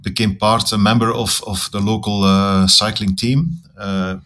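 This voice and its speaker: A Belgian man with a Flemish accent talks through his story as an interview guest, his voice sitting on the lower side. He speaks thoughtfully and unhurriedly, with plenty of ums and small restarts. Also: little pitch movement, measured pace, a bit bright. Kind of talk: casual interview conversation.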